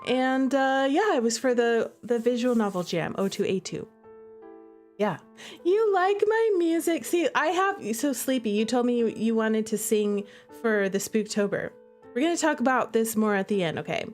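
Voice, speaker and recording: A woman's voice: a little high, moderate pace. A woman hosting a livestream, chatting casually and playfully to her viewers as she comments on a game. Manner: playful, animated, chatty, addressing viewers directly. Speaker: streamer and visual novel creator hosting a playthrough. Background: music playing behind the voice.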